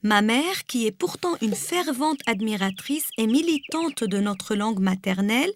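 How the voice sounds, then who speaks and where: A woman's voice: fairly high pitch, lively intonation, unhurried, no echo, studio quality. A woman from Lebanon, a native Arabic speaker with a Lebanese accent and a rolled R, reading a personal essay aloud. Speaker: guest reader.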